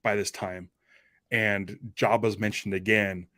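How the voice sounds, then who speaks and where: A man's voice: on the low side, little pitch movement, unhurried, crowd noise. A man hosting a podcast, talking about film in a casual, enthusiastic, conversational way like a fan.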